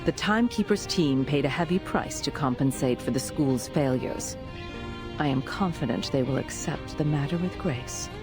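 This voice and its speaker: Low-voiced, commanding senior official. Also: female voice. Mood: assured, businesslike. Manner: formal, measured, decisive. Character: composed, authoritative senior official, a woman in command. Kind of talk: dramatic video game voice acting. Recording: music under the voice. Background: background music.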